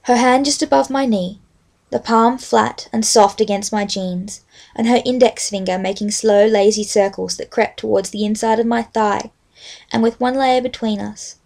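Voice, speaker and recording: A young adult woman with an Australian accent, speaking as a vlogger in a halting, unscripted-sounding way. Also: a little high, steady tone, vocal fry, a little mellow. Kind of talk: casual rambling chat with a read-aloud book passage.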